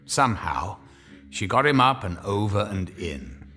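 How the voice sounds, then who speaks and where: A man narrating a scripted horror story in a wry, measured, dramatic way. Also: on the low side, big pitch swings, bright, forceful tone.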